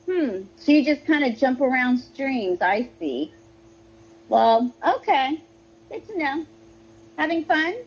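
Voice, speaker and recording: A woman's voice: high voice, lively intonation, takes it slowly, long pauses. A woman chatting and arguing on a livestream call, hesitant and rambling, over a poor phone connection. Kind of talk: casual argumentative livestream chat. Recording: muffled, noisy, breaking-up phone connection.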